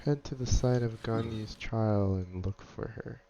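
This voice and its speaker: A man's voice: lowish voice, a little slow, gravelly, warm tone, lo-fi sound. A man giving casual, laid-back, reactive commentary as he plays through a video game. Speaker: gaming commentator. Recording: faint noise, keyboard and mouse clicks.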